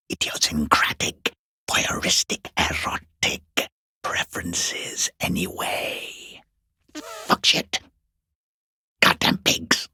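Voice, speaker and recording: Casting: furtive, mocking game creep, husky half-whisper, slow theatrical drawl, dripping sarcasm. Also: male voice, sits low, pushed and bright, no room sound, heavily acted. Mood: scornful, defensive. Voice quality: hoarse, breathy rasp, often half-whispered. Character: sneering, mocking, furtive peeping lurker behind a gate. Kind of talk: video game character acting.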